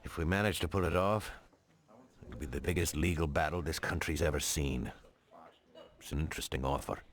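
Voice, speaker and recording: A stern lawman in a crime game, with a deep, low voice. He is deliberate and businesslike, questioning an informant with open skepticism. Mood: considering. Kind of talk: dramatic video game dialogue.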